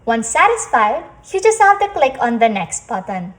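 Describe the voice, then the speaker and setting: High-pitched woman narrating a lively tutorial. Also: moderate pace. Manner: instructional, step-by-step. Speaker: tutorial host.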